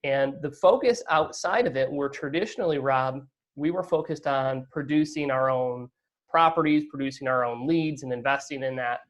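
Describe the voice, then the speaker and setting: A man's voice: medium pitch, moderate pace. A man answering as a guest in a business interview, talkative and explanatory, often checking that he is understood. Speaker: interview guest, real estate fund operator.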